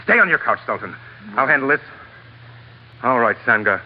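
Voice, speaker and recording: An actor playing a commanding, menacing crook with a curt, domineering manner in vintage radio drama. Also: male voice, mid-range voice, very animated, moderate pace. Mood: curt, threatening. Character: commanding, menacing gun-toting crook running a chart deal. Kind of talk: 1940s-50s radio drama acting. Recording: dull, noisy vintage radio recording.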